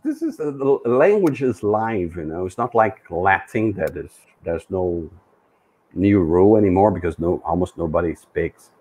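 A middle-aged man from Brazil, a native Portuguese speaker, talking hesitantly on a video call with many pauses. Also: sits low, animated, darkish tone, slightly rough, low-quality recording. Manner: hesitant, many fillers and restarts. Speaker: co-host, language learner. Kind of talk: casual video call chat. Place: room indoors.

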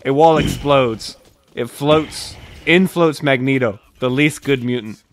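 A man's voice: medium pitch, a little slow, a little hiss or hum. A young man on a livestream at home, reading absurd comedy scripts aloud. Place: dim room at home.

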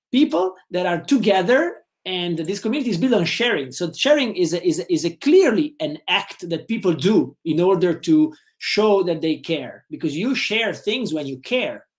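A man's voice: high voice, sing-song. Italian-accented male lecturer, middle-aged, webcam call, hesitant with many fillers. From Italy. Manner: halting, many fillers and repeated words. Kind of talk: online webinar lecture. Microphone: webcam video call.

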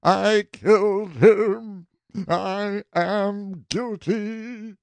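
Storybook role: tormented old rustic man, played by a male narrator, impassioned and bitter, very slow, gravelly confession. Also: high-pitched, steady tone, a little mellow, dry recording, exaggerated voice. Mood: grim confession. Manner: impassioned, drawn-out, rustic speech.

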